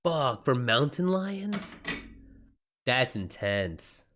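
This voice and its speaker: A man's voice: mid-range voice, sing-song, very slow, background noise. Casual, sweary male livestreamer. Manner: informal, sweary, slangy. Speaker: livestream host, author taking writing questions. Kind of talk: casual livestream chat, reading and answering messages.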